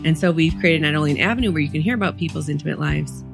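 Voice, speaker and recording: A woman co-hosting a podcast as a couples therapist, reading a scripted intro in a smooth, measured way. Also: low voice. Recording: voice over guitar music bed.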